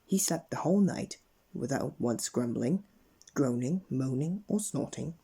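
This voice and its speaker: A man narrating a children's bedtime story in an expressive read-aloud manner. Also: mid-range voice, measured pace, vocal fry, breathy edge. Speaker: storybook reader.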